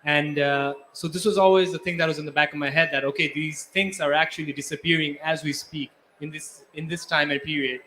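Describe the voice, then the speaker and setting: A man with a slightly high voice speaks on a panel as a climate researcher. He explains things earnestly, with faint event ambience behind him. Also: steady tone, a little fast, few pauses, pushed and bright, slight background noise. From Nepal. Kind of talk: spontaneous panel talk.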